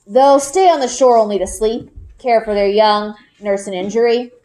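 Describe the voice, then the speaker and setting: Storybook father played by a woman: knowing, kindly, soft-spoken, patient explainer with a lower register. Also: normal speed, close to an everyday voice, low-quality recording. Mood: calm, instructive. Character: gentle, knowing father, a magical nature seeker. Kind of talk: children's book read-aloud.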